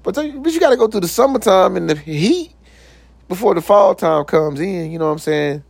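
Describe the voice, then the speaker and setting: A man's voice: expressive, brisk, vocal fry, no room sound. Laid-back young man, dry close mic. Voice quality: voice recently got deeper. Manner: loose, slangy, lots of "you know what I'm saying"; jokes around, puts on silly voices. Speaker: podcast host. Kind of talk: casual motivational talk.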